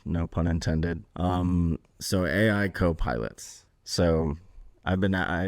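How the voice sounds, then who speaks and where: A man's voice: sits low, darkish tone, dry recording, clean studio sound. A man hosting a podcast, asking questions in a casual conversational interview style.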